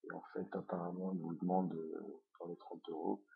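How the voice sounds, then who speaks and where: Low, flat-voiced man with a cold. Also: moderate pace, creaky, husky, phone-quality sound. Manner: hesitant, many fillers. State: has a head cold. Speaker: online course instructor. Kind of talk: screencast tutorial.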